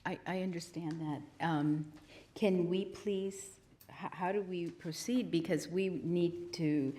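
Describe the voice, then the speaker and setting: A woman chairing a city committee meeting, calling on speakers and pushing the procedure forward briskly. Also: fairly low pitch, fairly even intonation, mellow. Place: city council chamber.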